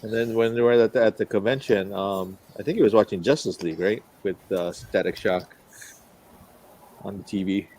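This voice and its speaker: A man's voice: lowish voice, moderate pace, darkish tone. A man chatting casually as a podcast co-host, talking in a hesitant, rambling way. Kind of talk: casual chat.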